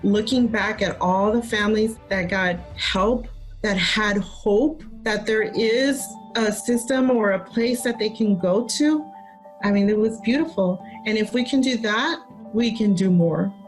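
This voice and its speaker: A woman reflects warmly and sincerely in an interview about a legal aid program. Faint music plays underneath. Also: mid-range voice, a little slow, rough recording. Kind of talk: documentary interview.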